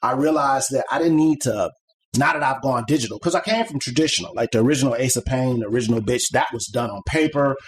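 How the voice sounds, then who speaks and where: Middle-aged guy rambling on a podcast, mid-pitched voice, lots of like and you know. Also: quick pace, a little hiss or hum. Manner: rambling and conversational, many "like" and "you know" fillers. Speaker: podcast guest, comic artist. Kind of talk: casual interview chat.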